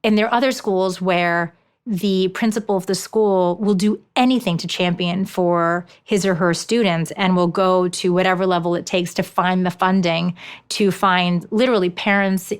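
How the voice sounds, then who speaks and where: A woman's voice: fairly low pitch, steady tone, normal speed, clear, resonant tone. A woman in her forties giving an interview as an author, telling stories animatedly with frequent restarts. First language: Japanese. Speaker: author and education consultant, guest. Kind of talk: conversational interview.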